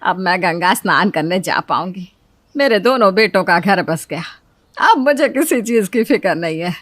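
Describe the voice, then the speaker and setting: A woman's voice: lowish voice, lively intonation, moderate pace, slight background noise, natural voice. A woman voicing a practical, protective middle-aged mother-in-law in a cartoon story, speaking in an emphatic motherly way. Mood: relieved.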